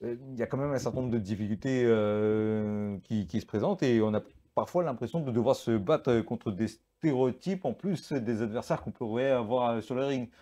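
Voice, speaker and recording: A man hosting a talk show, asking long, halting questions full of restarts. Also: mid-range voice, mellow.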